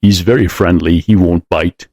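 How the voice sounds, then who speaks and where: A man voicing a big, mysterious, gentle and polite tall man with a smooth, rich voice in an audiobook. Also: low-pitched, steady tone, moderate pace, dry recording, studio quality, voice pushed down. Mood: gentle, coaxing. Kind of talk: audiobook character voice.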